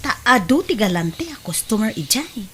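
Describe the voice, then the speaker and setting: A woman's voice: mid-range voice, big pitch swings, moderate pace, pushed and bright, a little hiss or hum. A woman with an Ilocano Filipino accent playing a breezy, worldly young beer-house waitress and friend in an audio drama. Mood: coaxing.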